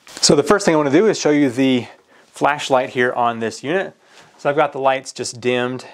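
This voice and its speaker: A man with a mid-pitched voice talks through a product demonstration. He explains each step plainly in a casual, practical way, as a reviewer showing how something works. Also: normal speed. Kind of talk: casual hands-on product walkthrough. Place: indoors at a work table.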